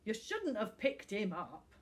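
A woman's voice: medium pitch, wildly swooping pitch, unhurried, voice pushed up. A woman voicing a blunt, scoffing man who works as a driver, in an audiobook story. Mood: scornful. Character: gruff, scornful male driver, blunt and mocking. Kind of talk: audiobook character acting.

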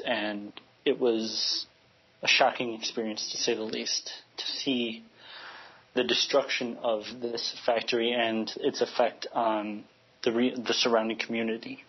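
A man from Chicago answers a radio interviewer earnestly, pausing and restarting as he hunts for the right words. His voice is husky and of middle pitch. The line sounds muffled and lo-fi, as if coming from far away. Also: level tone. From United States, Chicago. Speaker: interview guest.

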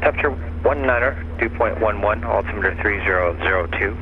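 A man's voice: lowish voice, fast talker, phone-quality sound. A man reading a recorded airport weather broadcast over the radio. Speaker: airport weather information announcer. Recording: thin radio band, faint noise.

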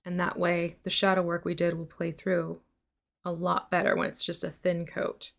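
A young woman teaching miniature painting on a livestream, explaining each step in a relaxed, easy way from home. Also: lowish voice, moderate pace, gravelly. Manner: relaxed explaining, laughs easily. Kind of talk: casual livestream tutorial. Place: indoor home room.

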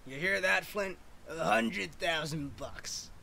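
A man's voice: on the high side, slow pace, vocal fry, bright, forceful tone, a little hiss or hum, full character voice. A man playing a boastful, thin-skinned supervillain who needles others in a comic dub. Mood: boastful, taunting. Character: brash, thin-skinned male supervillain showing off.

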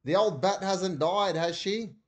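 Man doing a shouting mum, high-pitched and brusque, storybook reading. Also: a little slow. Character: loud, distracted mother at a show.

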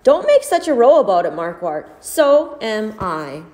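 A woman voicing a calm, stern businessman who answers bluntly and firmly. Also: medium pitch, unhurried. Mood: cool. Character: calm, stern male businessman, cool and unmoved.

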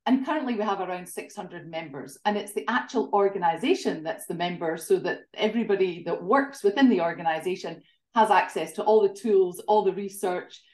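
A woman's voice: medium pitch, a little fast, darkish tone. Scottish woman, forties, interview guest on a webcam, roomy home sound. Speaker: guest, tourism association director. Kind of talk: video call interview. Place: home living room. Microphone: laptop webcam video call. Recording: roomy home video call.

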